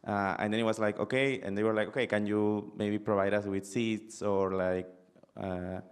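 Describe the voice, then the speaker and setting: A young man, a student on a panel, telling an example in a halting way full of fillers and restarts. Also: lowish voice, level tone, clear, resonant tone. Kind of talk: panel discussion anecdote.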